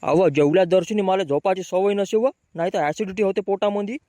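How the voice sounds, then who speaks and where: A man's voice: high-pitched, fairly even intonation, fairly quick, dark tone, dry recording, studio quality, close to an everyday voice. A man voicing a brash, grumbling young village man with a rural Marathi accent, bickering in slang in a comic cartoon. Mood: grumbling banter.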